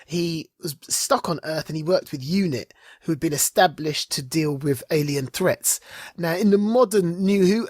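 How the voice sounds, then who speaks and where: A podcast co-host chatting casually and emphatically about geek culture, with an animated, opinionated way of talking. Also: mid-range voice, no room sound, clean studio sound.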